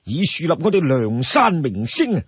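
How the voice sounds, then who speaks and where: A male storyteller plays a proud young outlaw fighter in a heroic tale. The voice is raised and very animated, earnest and spirited. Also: a little high, normal speed, slightly rough, dry recording, muffled recording, exaggerated voice. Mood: eager.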